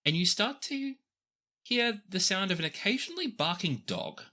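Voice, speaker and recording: Game master for tabletop roleplay. Mid pitch, lively swings, forward bright tone, expressive improvised storytelling. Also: normal speed.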